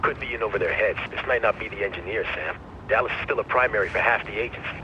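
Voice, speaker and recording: An actor playing an urgent military support teammate in a video game. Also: male voice, on the low side, fast, lo-fi sound, noisy background, close to an everyday voice. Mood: cautioning. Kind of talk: cinematic video game acting. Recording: loud music over the voice.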